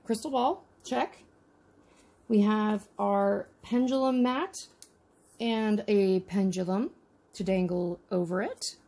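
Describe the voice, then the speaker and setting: A woman's voice: medium pitch, slow pace, a little mellow. A woman casually narrating a miniature unboxing with chatty, wry asides. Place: indoors at a tabletop.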